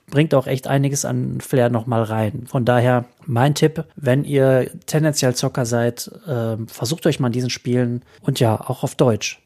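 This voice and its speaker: A man's voice: medium pitch, darkish tone. A man co-hosting a podcast, chatting playfully with some fillers. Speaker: podcast co-host. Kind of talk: casual podcast talk.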